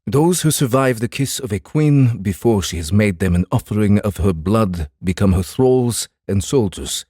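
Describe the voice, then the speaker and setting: Low, husky, formal samurai. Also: male voice, expressive, mellow, no echo, clean studio sound. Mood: grave, guarded. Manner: measured, formal, deliberate. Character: formal, guarded samurai man, calm and commanding. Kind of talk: dramatized fantasy audiobook acting.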